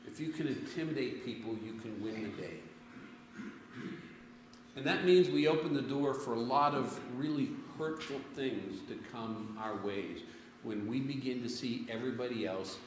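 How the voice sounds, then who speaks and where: A man's voice: mid-range voice, background noise. A middle-aged man preaching a conversational sermon to a congregation, telling stories and asking them questions, picked up by a distant camera. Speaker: pastor. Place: church sanctuary, before a congregation. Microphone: far camera. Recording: echoey church from a distant camera.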